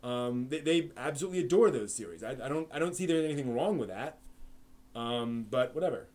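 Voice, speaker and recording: A man's voice: medium pitch, expressive, a little fast, darkish tone. Rambling man hosting a live stream. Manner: rambling, frequent fillers and restarts. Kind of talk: casual live-stream chat answering viewer questions.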